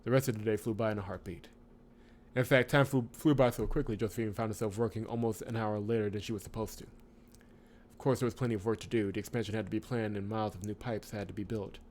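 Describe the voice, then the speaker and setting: A man hosting a visual novel let's play, reading story text expressively with casual asides and corrections of his own slips. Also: on the low side. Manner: expressive reader who breaks off to correct himself.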